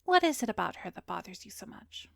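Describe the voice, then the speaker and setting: Actress as soothing female therapist for ASMR roleplay: mid-range voice, soft and measured, drifting into whispers, very dry close-mic sound. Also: medium pitch, sing-song, dry recording, full character voice. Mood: probing, insinuating. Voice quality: soft, often slipping into breathy whisper. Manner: measured, gentle, professional, probing. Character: composed, soothing female therapist, secretly an arrogant vampire. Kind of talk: ASMR roleplay, addressed to the listener. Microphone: close studio microphone, dry.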